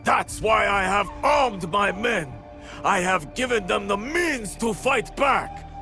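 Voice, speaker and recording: A man playing a fiery warlord in a video game, gravelly and commanding, rallying his armed men with grandiose oratory. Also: sits high, lively intonation, slow pace, sharp tone, soft background music, full character voice. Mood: fierce, rallying. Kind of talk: dramatic video game cutscene acting.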